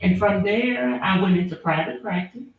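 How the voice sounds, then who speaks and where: A woman attorney, answering interview questions in an earnest, measured way with frequent fillers. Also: mid-range voice, gravelly, phone-quality sound. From Alabama, US. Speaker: attorney and former prosecutor. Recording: very echoey room.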